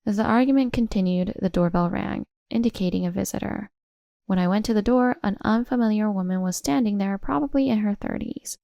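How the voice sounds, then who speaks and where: A woman narrates a scripted first-person story. Her mid-pitched voice has a creaky edge. The recording is very dry, with no audible room. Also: brisk, mellow, no echo, dull audio.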